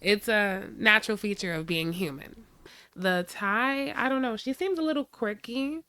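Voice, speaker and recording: A woman hosting a reaction channel, giving sassy, animated commentary straight to her viewers. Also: mid-range voice, moderate pace, dry recording.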